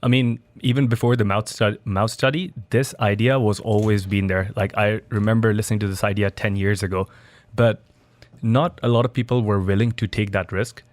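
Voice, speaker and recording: A man's voice: lowish voice, fairly even intonation, normal speed, no room sound. A man from Dubai, a studio guest, answering interview questions in a loose, conversational way with restarts and fillers. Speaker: studio guest, biohacking entrepreneur.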